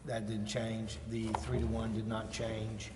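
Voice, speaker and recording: A man chairing a formal meeting as mayor, brisk and businesslike. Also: fairly low pitch, completely flat delivery. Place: indoor council chamber. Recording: echoey council chamber, clearly noisy.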